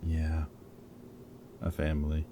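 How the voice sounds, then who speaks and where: Guy playing a really deep voiced rebel scientist in an audio rp, halting n earnest, kinda guilty, noisy background. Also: exaggerated voice. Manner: hesitant, halting, casual and earnest. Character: goofy male rebel scientist, captured, caring and guilt-ridden. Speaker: audio roleplay performer. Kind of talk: intimate dramatic audio roleplay.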